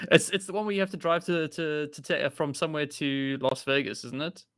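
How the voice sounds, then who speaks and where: A man's voice: fairly high pitch, fairly even intonation, moderate pace, a bit bright, smooth. Stammering man joking on a stream. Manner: stammering, laughing banter. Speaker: co-host. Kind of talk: casual reaction chat.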